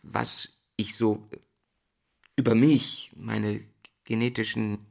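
An actor playing an overwhelmed man in a drama, stammering and stunned, with a husky, creaky voice. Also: on the low side, little pitch movement, a little mellow, exaggerated voice. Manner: halting, stunned. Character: overwhelmed, stammering man hearing big news from partner.

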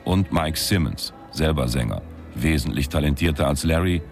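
A cynical country singer turned sleuth, narrating a radio crime story in an extremely low voice. He is deadpan and sardonic, with faint music behind him. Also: moderate pace. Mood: deadpan, wry.

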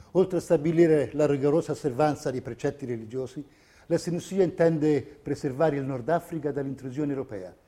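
A man narrating a scripted theatre piece as a measured, pointed storyteller. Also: a little high, mellow. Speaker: stage narrator.

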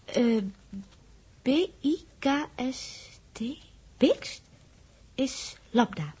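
A very high female voice playing a mischievous young woman in vintage space radio drama. She teases and coaxes coquettishly, her intonation swinging widely. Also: big pitch swings, drawn-out words, warm tone, slightly rough, full character voice. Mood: playful, coaxing. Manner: coquettish, sing-song teasing. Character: playful, teasing young woman aboard a spaceship. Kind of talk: 1960s Dutch radio play acting.

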